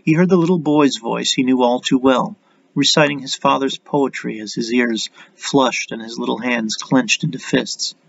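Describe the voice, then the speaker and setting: The recording is muffled and lo-fi. A man reads dramatic audiobook fiction in a gravelly voice, with measured, brooding delivery. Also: mid-range voice, dry recording. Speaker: author reading own story. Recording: dull, lo-fi audio.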